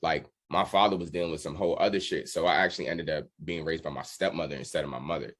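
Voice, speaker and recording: Deep-voiced American man, casual and candid. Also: fairly even intonation, quick pace. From Washington DC area, United States. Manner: candid, slangy. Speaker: musician guest, drummer. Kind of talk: casual interview storytelling.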